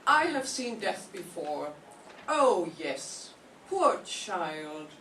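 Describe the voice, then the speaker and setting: A woman's voice: fairly low pitch, very animated, extremely slow, a bit bright, heavily acted. An older woman playing a kindly old nun. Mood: gentle. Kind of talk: 1940s radio acting. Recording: echoey room from far off, faint hiss.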